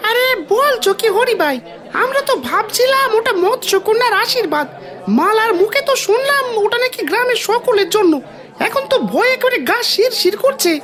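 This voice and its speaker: A woman voicing an excitable, gullible and accusing villager in a cartoon. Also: very high-pitched, normal speed, some chatter behind. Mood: alarmed. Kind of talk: Bengali cartoon voice acting.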